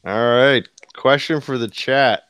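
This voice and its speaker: A man on a group podcast who poses the questions, easygoing and a little hesitant. His voice is smooth and clear against a dry, close sound. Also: mid-range voice, slow pace, a bit bright, no echo. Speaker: podcast co-host asking questions. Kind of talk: casual group chat.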